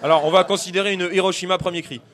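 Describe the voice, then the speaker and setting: A young man hosting a lively student radio quiz debate, playful and joking with apologetic asides. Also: high-pitched, very fast. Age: young adult. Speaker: quiz host.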